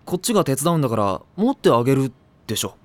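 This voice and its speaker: An actor playing a mild, sensible, exasperated teenage schoolboy in an anime audio drama, dry and casual in manner. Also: male voice, medium pitch, very animated, racing, background noise, lightly acted. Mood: dry, reasoning. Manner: level-headed, dry, casual.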